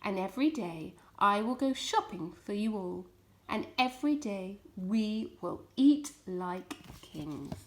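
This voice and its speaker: A woman's voice: mid-range voice, lively intonation, slow. Woman voicing a confident, rousing storybook fox leader. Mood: excited, inviting. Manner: rousing, declamatory speech-making. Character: confident, jovial male fox leader addressing his friends. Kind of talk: children's storybook character reading.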